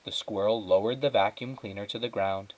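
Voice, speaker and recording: A man reading a children's story aloud as a teacher, in expressive narration. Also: on the low side, fairly quick, rough recording.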